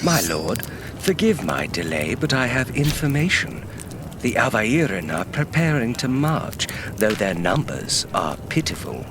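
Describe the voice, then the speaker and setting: A man's voice: mid-range voice, sing-song, unhurried, noisy background. Sly, drawling henchman. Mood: fawning, sly. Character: sly, fawning male villain henchman. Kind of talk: audio drama acting.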